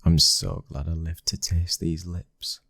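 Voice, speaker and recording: A man acting as a dominant, devoted mafia boss's son with a British accent. His voice is extremely low and husky, kept to a narrow, restrained melody. He speaks intimately, as in an ASMR boyfriend roleplay. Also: level tone, measured pace, a bit bright, dry recording, studio quality, heavily acted. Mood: teasing, tender. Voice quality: breathy, husky. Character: smooth-talking, loving, dominant young mafia boss's son. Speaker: audio roleplay voice actor. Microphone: close microphone.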